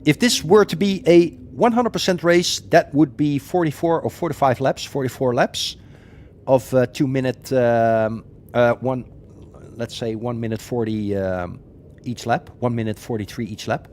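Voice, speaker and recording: A man's voice: medium pitch, moderate pace, darkish tone, a little hiss or hum. A man from the Netherlands with a Dutch accent, chatting casually on a gaming live stream with many ums and uhs.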